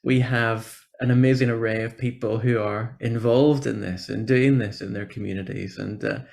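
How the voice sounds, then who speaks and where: A man's voice: medium pitch, fairly even intonation, normal speed, gravelly, a little mellow. A man with a Northern Irish accent giving an informal, encouraging conference presentation over a video call. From Northern Ireland. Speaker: church programme officer, guest speaker.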